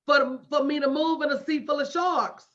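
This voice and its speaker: High-voiced woman, emphatic motivational coach. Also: fairly even intonation, moderate pace, a bit bright. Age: late 40s. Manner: emphatic, preacher-like repetition with direct address and folksy slang. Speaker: motivational business coach hosting a livestream. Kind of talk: motivational coaching talk to a live online audience. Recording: dull lo-fi livestream audio.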